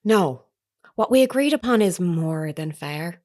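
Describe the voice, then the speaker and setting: An actress playing an earnest, persistent woman reporter in an audio drama, formal, measured and insistent in a naturalistic style. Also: on the low side, lively intonation, darkish tone. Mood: firm.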